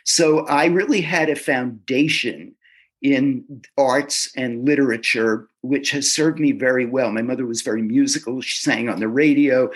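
A man being interviewed as an author, answering in a casual, halting way and often repeating words as he starts a sentence. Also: mid-range voice, breathy edge. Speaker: author guest.

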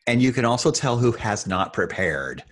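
A man's voice: mid-range voice, sing-song, moderate pace. A middle-aged American man being interviewed, telling his story in an earnest, self-assured, emphatic conversational way. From Iowa, United States. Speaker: podcast guest, internet radio show host.

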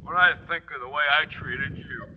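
Radio comedy drunk played by a man: highish, rambling, maudlin and muddled, on a dull old recording. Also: moderate pace, crowd noise, lightly acted. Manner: slurred, rambling, sentimental drunk. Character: maudlin, confused, friendly drunk man on a telephone. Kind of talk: 1940s-50s radio comedy acting.

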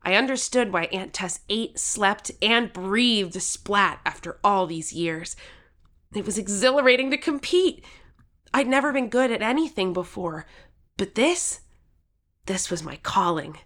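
A woman playing an excitable, cocky thirteen-year-old contestant telling their story in a scripted audio drama. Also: medium pitch, unhurried. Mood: proud, cocky. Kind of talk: scripted audio drama, first-person narration with acted lines.

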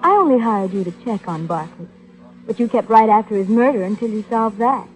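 Actress as poised, curious radio drama client. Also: female voice, medium pitch, animated, brisk, a little mellow, a little hiss or hum, lightly acted, poor audio. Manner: questioning, composed. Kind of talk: 1940s radio drama acting.

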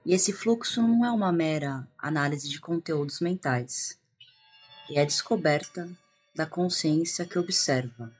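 A Brazilian woman leads a guided meditation in a low, breathy voice. She is calm and hushed and takes her time, leaving long pauses between phrases over music. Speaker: meditation guide, integrative therapist. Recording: music behind the voice.

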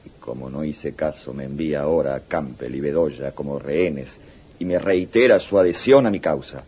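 A muffled old radio drama tape with a commanding South American dictator. His voice is very deep and dark, and he speaks deliberately, with suspicion and scorn. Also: breathy edge. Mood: indignant, scornful. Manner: imperious, deliberate. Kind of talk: 1980s radio drama acting.

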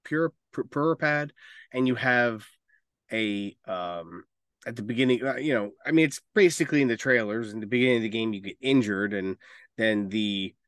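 A man's voice: lowish voice, fairly bright tone, dry recording, studio quality. A man co-hosting a casual podcast, talking in a rambling, opinionated way with some swearing. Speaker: podcast co-host. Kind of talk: casual chat about movies and video games.

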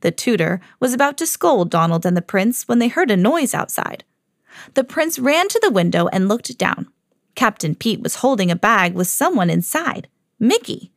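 Higher-voiced mom reading a storybook aloud. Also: female voice, normal speed, dry recording, studio quality. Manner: lively read-aloud, jumps high for quoted shouts. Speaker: mom reading to her kids, podcast host.

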